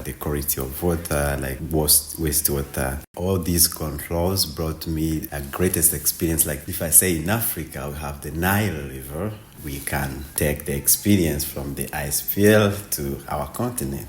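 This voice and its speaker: A very deep-voiced man in his late twenties from Rwanda, answering hesitantly and reaching for words with frequent fillers. Faint noise sits behind him. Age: 20s. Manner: hesitant, lots of 'like' fillers. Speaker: exchange participant. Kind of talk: casual interview answers.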